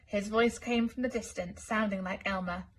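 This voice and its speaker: A woman storyteller reading a children's picture book aloud in an expressive, deliberate way. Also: mid-range voice. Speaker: school storyteller.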